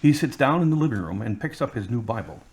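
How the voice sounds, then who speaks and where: A man's voice: medium pitch, lively intonation, quick pace, darkish tone. A man reading scripted narration for an audio drama.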